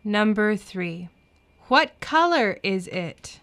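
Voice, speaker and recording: Female language-lesson reader, slow and careful, crisp bright tone, children's material. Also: medium pitch, sing-song. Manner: carefully enunciated.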